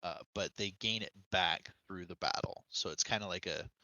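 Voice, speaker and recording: A man's voice: low-pitched, moderate pace, sharp tone, no room sound, muffled recording. A man in a casual podcast discussion, explaining video game details as a knowledgeable co-host.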